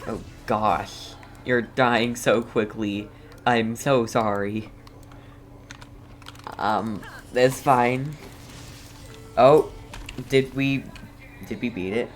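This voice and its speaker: A player giving casual, halting commentary on a video game while playing, reacting in short murmured asides. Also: mid-range voice, steady tone, faint music behind. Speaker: game streamer. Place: voice-over on game footage, game music behind.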